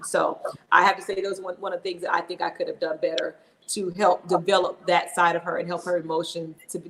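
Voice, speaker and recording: A woman's voice: fairly low pitch, fairly bright tone. Frank, laughing middle-aged woman host. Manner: frank and self-reflective, laughs easily. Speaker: talk show host. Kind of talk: casual candid conversation.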